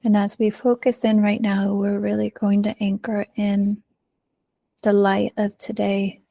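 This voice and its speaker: A woman leading a guided meditation in a soothing manner, pausing often, with soft phrase endings. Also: medium pitch, little pitch movement, gravelly, no room sound, studio quality. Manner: slow, soothing delivery with long pauses.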